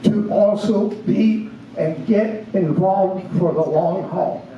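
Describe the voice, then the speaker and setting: A man's voice: high voice, dark tone, dull audio. An older man giving an earnest public address at a community meeting, caught from a distance in a large room. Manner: slow, earnest speechmaking. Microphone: room mic from a distance. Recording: echoey room, distant mic, faint hiss.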